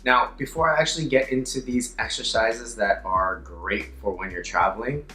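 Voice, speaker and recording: A young man with a hoarse, slightly raspy voice, an upbeat yoga teacher chatting playfully in a casual vlog. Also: mid-range voice, normal speed, sharp tone, reverberant, faint music behind. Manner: upbeat, playful, self-mocking.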